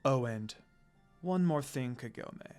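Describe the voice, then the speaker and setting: A man voicing a calm, sinister male demon lord, scheming and smirking in a hushed, menacing way. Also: medium pitch, slow, soft background music, pitched below the performer's own voice. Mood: smug menace.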